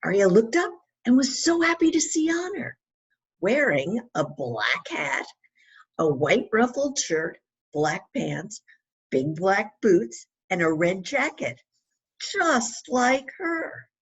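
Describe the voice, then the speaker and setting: A woman's voice: medium pitch, sing-song, slow, husky, highs cut off. A woman reading a children's story aloud expressively, with emphatic phrasing and questions put to young listeners. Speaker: children's author reading her book aloud.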